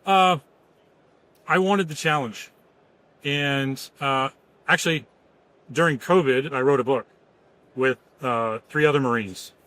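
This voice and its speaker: Man from Pennsylvania, podcast guest, easygoing, matter-of-fact, mid pitch. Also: slow, long pauses, fairly bright tone. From Pennsylvania, near Philadelphia. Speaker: guest, company founder and former Marine. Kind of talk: casual interview.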